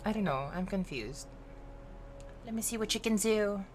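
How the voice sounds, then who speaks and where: A woman's voice: medium pitch, lively intonation, moderate pace, soft background music. A woman with a Filipino accent streaming a game, chatting playfully in a mix of English and Filipino and teasing herself. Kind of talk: casual livestream chat while gaming.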